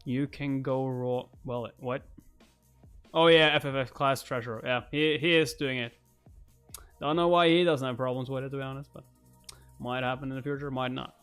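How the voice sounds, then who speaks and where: A man's voice: medium pitch, fairly even intonation, moderate pace, a bit bright, clear, resonant tone. A young man reading a comic aloud and reacting casually on a live stream. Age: young adult. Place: indoors, at a streaming setup. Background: music.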